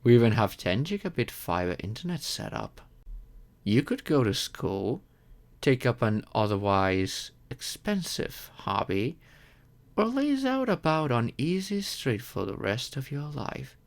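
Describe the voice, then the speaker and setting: A man playing a courteous, gentle, wealthy old vampire in an audio roleplay, speaking reassuringly and earnestly to the listener. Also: mid-range voice, huge pitch swings, gravelly, heavily acted. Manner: gentle, reassuring, unhurried with thoughtful pauses.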